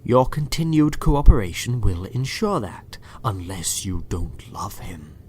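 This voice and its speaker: A man's voice: lowish voice, very animated, measured pace, a little mellow, husky, exaggerated voice. A man playing a sinister doctor in an audio drama, politely menacing and condescending, sometimes murmuring. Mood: quiet threat.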